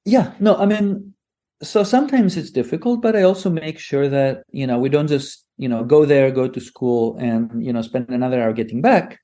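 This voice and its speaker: Chatty man from Greece, mellow voice. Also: medium pitch, steady tone, normal speed. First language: Greek. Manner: rambling, many restarts and fillers. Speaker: guest. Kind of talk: casual conversation.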